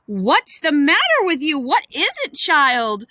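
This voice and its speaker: A woman voicing a storybook aunt, an older guardian who is brisk, scolding and soft-hearted, with emphatic fussing. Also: fairly high pitch, big pitch swings, no room sound, studio quality, full character voice. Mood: alarmed. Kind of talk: storybook character voice.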